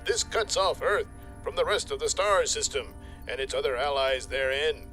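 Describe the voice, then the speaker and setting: Guy doing a dramatic space news announcer, sharp punchy voice a bit high, music under, declamatory. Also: expressive, moderate pace, low-quality recording. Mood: urgent. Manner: declamatory, emphatic. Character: urgent, dramatic male news flash announcer. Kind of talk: mock newsreel announcement.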